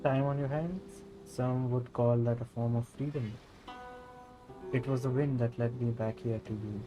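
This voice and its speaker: A male game streamer, native in Hindi, reads character lines aloud in a mid-pitched voice. Game music plays clearly behind him. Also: fairly even intonation, unhurried, low-quality recording. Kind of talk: reading game dialogue aloud on livestream.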